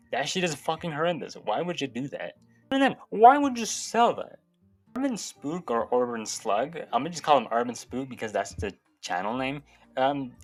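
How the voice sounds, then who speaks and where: A man's voice: mid-range voice, fairly bright tone. Casual male commentator over background music. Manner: informal, sweary. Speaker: YouTube commentator. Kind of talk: casual opinionated video commentary.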